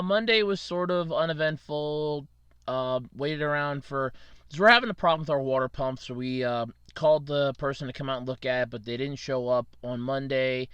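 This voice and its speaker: A man's voice: on the high side, a bit bright, smooth, dry recording, muffled recording. A man hosting a casual solo podcast, his throat scratchy from allergies, rambling and correcting himself with little laughs. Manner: rambling, self-correcting, chuckling. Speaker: podcast host.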